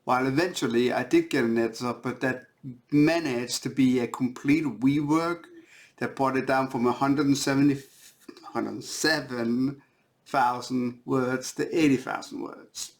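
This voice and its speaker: Slow-spoken Danish man with a creaky voice. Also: medium pitch, fairly even intonation. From Denmark. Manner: halting, searching for words, repeating phrases. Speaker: indie author. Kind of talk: talking to camera about own writing.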